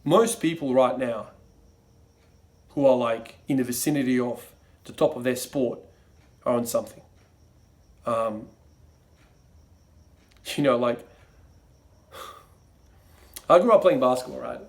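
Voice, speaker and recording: A man's voice: mid-range voice, slow pace, long pauses. A young man from Croatia, grown up in Australia, talking casually to camera in a rambling way, full of fillers and restarts. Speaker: fitness vlogger.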